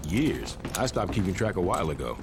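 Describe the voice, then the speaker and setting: Tired sarcastic cop in a game, low voice, deadpan, noisy room tone. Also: male voice, fairly quick. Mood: jaded. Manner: dry, deadpan, jaded. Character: weary, sardonic middle-aged male cop.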